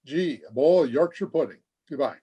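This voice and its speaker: Man playing a cheeky delivery boy, lively swooping voice. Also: on the high side, fairly quick, lo-fi sound, very noisy, close to an everyday voice. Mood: goofy. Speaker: amateur radio player. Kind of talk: old-time radio comedy acting.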